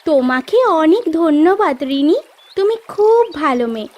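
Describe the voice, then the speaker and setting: Woman voicing a high, gentle magical little fish. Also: expressive, unhurried. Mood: gentle, reassuring. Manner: gentle, reassuring. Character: kind, wise magical talking little golden fish. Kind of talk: cartoon voice acting.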